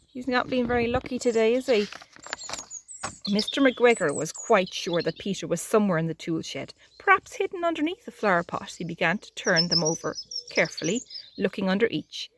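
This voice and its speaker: A woman's voice: mid-range voice, sing-song, fairly quick, noisy background. A woman reading a children's storybook aloud as a storyteller, expressively, adding sympathetic little asides for young listeners. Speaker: library storyteller.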